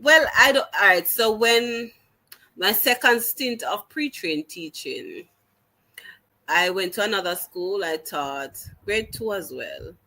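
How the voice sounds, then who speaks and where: A woman's voice: medium pitch, very animated, pushed and bright, low-quality recording. A woman with a Jamaican accent, a teacher telling her story as an interview guest, earnest and full of restarts. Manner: earnest, halting restarts, slips into patois.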